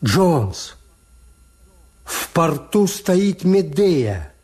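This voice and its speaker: An actor playing a reserved, wary male hotel owner who narrates and speaks in a restrained, measured way in a radio drama. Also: fairly high pitch, very animated, darkish tone, breathy edge, exaggerated voice. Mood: concerned. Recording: Soviet radio play with music underneath.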